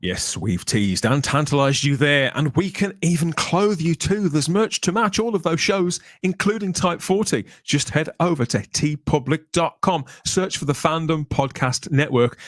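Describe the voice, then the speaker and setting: A man with a slightly high voice hosts a podcast in polished, playful patter. The recording is very dry, with no room sound. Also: no echo. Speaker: podcast host.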